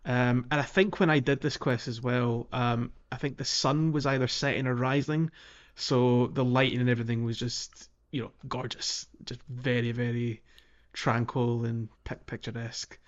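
A man's voice: medium pitch, flat delivery, moderate pace, highs cut off. A man co-hosting a podcast, talking casually about a video game playthrough in a chatty, hesitant way. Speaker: podcast co-host.

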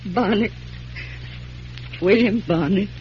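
A woman's voice: mid-range voice, slow, lightly acted. An actress playing a fretful, long-suffering frontier mother with a folksy rural American accent in an old radio western. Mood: resigned. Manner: folksy, complaining. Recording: muffled, faintly hissy vintage radio recording.

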